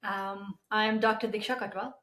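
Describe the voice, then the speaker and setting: A woman's voice: mid-range voice, steady tone, moderate pace, fairly bright tone. A woman from Nepal with a Nepali accent, explaining as an expert guest in an interview. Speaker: periodontist guest. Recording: roomy, echoey sound.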